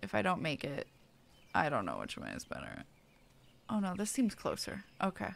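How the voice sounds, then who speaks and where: A woman's voice: lowish voice, huge pitch swings, normal speed, gravelly. A woman streamer chatting casually and muttering to herself as she plays a game. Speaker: streamer playing an online fantasy game. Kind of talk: casual live gaming commentary.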